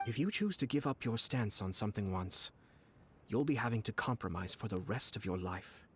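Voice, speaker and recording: A man voicing a young noble commissioner who speaks in a measured, courteous, formal way. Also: on the low side, animated, a little hiss or hum. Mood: calm. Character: young male noble commissioner, calm and cunning strategist. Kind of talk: video game voice acting.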